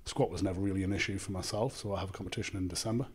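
Northern english bloke, gym owner on a podcast, lowish voice, steady and sure of himself, handheld mic in a small office. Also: male voice, breathy edge. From England. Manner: measured, confident, explains in lists. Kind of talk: casual interview answers. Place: small office studio indoors. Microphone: handheld microphone.

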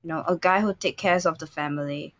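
A woman's voice: low-pitched, fairly quick. A woman in her twenties from Hong Kong and Singapore, a native Cantonese speaker, chatting casually as a livestream guest. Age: 20s. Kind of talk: casual chat. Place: indoor room, online video call.

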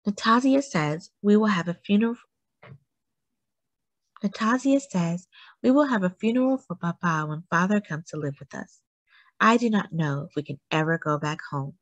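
A woman reading a children's book aloud for a classroom, slow and careful with lively rises on key words. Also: lowish voice, no echo.

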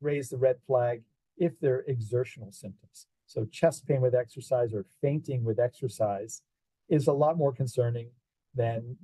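A middle-aged man, a cardiologist, explaining carefully with halting repeats over a video call from his office. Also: medium pitch, warm tone, slightly rough, no echo, rough recording. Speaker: pediatric cardiologist. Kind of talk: interview, explaining.

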